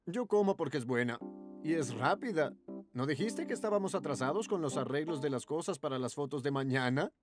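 A man's voice: fairly high pitch, animated, fairly quick, over music, lightly acted. A man voicing an easygoing middle-aged food lover in a cartoon, with a comically repetitive manner. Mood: relaxed.

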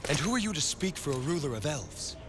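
An actor playing an earnest, suspicious human warrior, urgent and challenging, in a dramatic fantasy game. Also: male voice, fairly high pitch, very animated, a little fast, noisy background. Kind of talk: dramatic game cutscene acting. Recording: music behind.

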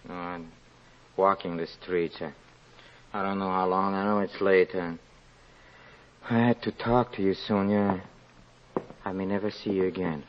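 An actor playing a proud, guilt-ridden, impoverished young murderer in an old-time radio drama, halting and overwrought. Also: male voice, deep voice, steady tone, slight background noise. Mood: weary, halting. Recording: muffled old radio recording.

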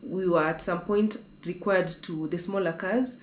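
A woman's voice: on the low side, steady tone, normal speed, a little hiss or hum. Young woman, from Kenya, travel vlogger, casual chat, restarts a lot, roomy echo. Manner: chatty, repeats herself, restarts often. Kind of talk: casual conversational travel talk. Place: indoor living room on a sofa.

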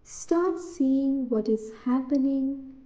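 Very slow woman guiding a meditation. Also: high-pitched, dark tone, lo-fi sound. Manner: soothing, deliberate, short phrases with pauses. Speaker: guided meditation narrator. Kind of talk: guided meditation, scripted narration.

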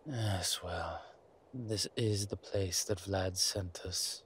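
Over clear background ambience comes a man acting as a centuries-old male vampire in an audio roleplay. He is suave, wry and protective, with a lowish voice. He talks very slowly, leaving theatrical pauses as he addresses his companion. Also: slight background noise. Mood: grave, reluctant. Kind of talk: scripted roleplay audio drama addressed to the listener.

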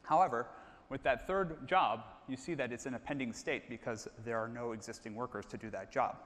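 A man's voice: mid-range voice, fairly quick, a bit bright. A man in his thirties presenting a live product demo on stage, explaining steadily and calling on colleagues by name. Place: large stage, hall. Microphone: lapel mic. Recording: reverberant hall.

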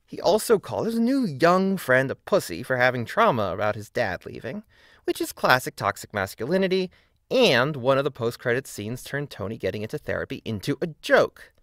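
Male essay narrator, mid-pitched, argumentative and emphatic, with plenty of melodic variation. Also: big pitch swings, fairly quick, fairly bright tone, no echo, studio quality. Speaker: video essayist. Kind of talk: scripted video essay narration.

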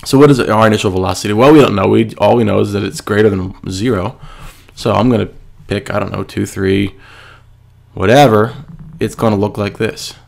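Male teacher, stuffy with a cold, low and gravelly, patient and methodical. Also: a little fast, darkish tone. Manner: patient, step-by-step, asks and answers himself. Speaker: physics teacher. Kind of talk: screencast lesson explaining a worksheet.

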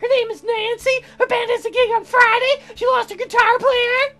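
Man doing a very high, shy young woman. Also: little pitch movement, moderate pace, pushed and bright, faint music behind, full character voice. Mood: nervous. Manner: falsetto, hesitant. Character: shy young woman musician, flustered and earnest.

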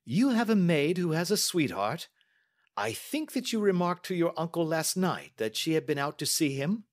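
A man voicing a brisk, astute Victorian gentleman detective with crisp, courteous questioning, in audiobook style. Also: a little high, big pitch swings, voice pushed up. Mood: probing. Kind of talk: audiobook character acting.

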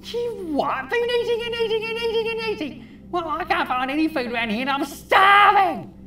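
A woman voicing an excitable, grumbling fish in a children's puppet show, exclaiming and muttering incredulously. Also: very high-pitched, moderate pace, roomy sound, distant mic, exaggerated voice. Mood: scandalised.

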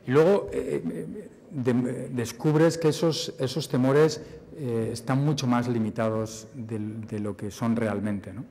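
A man's voice: medium pitch, slow pace, dark tone. A man in his forties answering audience questions at a conference podium, thoughtful and repeating words as he searches for phrasing. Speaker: conference speaker. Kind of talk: Q&A answer at a forum. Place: large banquet hall. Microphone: podium mic. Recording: hall reverb.

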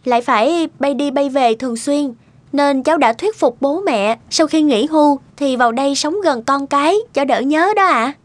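Woman playing polite, high-pitched young fiancée. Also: moderate pace, no room sound, natural voice. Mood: polite, earnest. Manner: polite, earnest. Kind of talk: family cartoon dialogue.

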